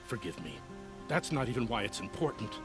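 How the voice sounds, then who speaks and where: A man's voice: medium pitch, sing-song, brisk, over music, close to an everyday voice. An actor playing an anxious, proud nobleman in a video game, speaking in a formal, dramatic, earnest way. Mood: sorrowful, earnest. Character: anxious, proud nobleman pleading over family honor. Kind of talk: video game character acting.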